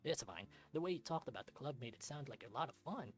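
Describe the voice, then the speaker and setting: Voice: mid-range voice, animated, fast, soft background music, close to an everyday voice. A performer voicing a friendly, agreeable young male canine student in a visual novel.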